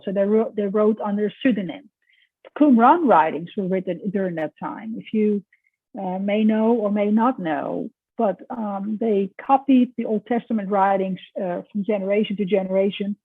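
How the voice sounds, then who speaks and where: A woman's voice: fairly high pitch, husky, no room sound. Dutch-accented woman lecturing over tinny audio. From Netherlands. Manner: explanatory, conversational, with filler pauses. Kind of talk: recorded lecture.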